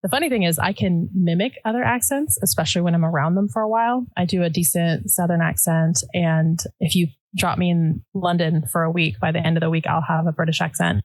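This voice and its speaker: A woman's voice: fairly low pitch, brisk, few pauses, dry recording, poor audio. A woman who grew up in the United States and whose first language is Dutch, chatting casually as a podcast guest and saying "like" often. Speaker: podcast guest, quilt pattern designer. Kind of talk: casual interview chat.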